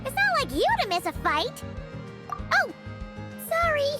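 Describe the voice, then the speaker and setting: A woman voicing a tiny, chirpy, childlike fairy companion in a video game, with a squeaky voice. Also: wildly swooping pitch, measured pace, over loud music, exaggerated voice. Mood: curious. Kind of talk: cartoonish game voice acting.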